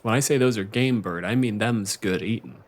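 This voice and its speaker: A man's voice: on the low side, a little fast. A man speaking as game master, running a tabletop roleplaying game in conversational narration.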